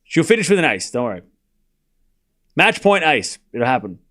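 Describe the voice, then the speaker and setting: A man's voice: mid-range voice, very animated, moderate pace, pushed and bright. A man with an Australian accent, giving casual live tennis commentary and teasing his chat. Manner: teasing, boastful, talks straight to chat.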